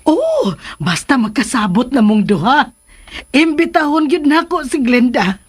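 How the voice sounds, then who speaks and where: A woman playing a gentle, doting mother in a radio drama, speaking in an affectionate, reassuring way. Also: high voice, very animated, a little slow, slightly rough, exaggerated voice.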